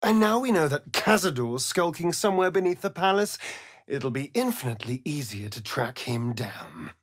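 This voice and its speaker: A man's voice: fairly high pitch, very animated, normal speed, no room sound, studio quality. A man playing a vain, sardonic, centuries-old vampire rogue in a video game, with an arch, theatrical delivery. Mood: serious, observant. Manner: arch, flamboyant, drawling, mocking. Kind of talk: video game character acting.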